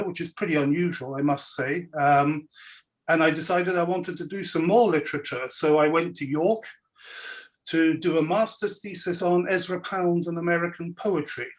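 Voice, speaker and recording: A man who grew up in Belgium, with French as his first language, speaks in a fairly high voice. His talk is wry and measured, and he clears his throat now and then. He is on a video call from his home. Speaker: guest speaker, scholar and writer. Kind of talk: relaxed lecture-style talk. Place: home, indoors.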